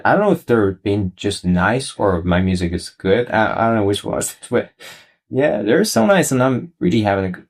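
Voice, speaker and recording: Musician guest, young male, Iranian accent, fairly low pitch, relaxed conversational interview delivery. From Iran. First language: Persian. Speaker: interview guest, singer-songwriter. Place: college radio studio.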